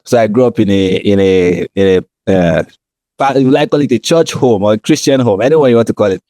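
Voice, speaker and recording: A man's voice: on the low side, brisk, warm tone, no echo. A man from Nigeria with a Nigerian accent, talking conversationally on a podcast and explaining his points with frequent check-in phrases. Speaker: podcast co-host.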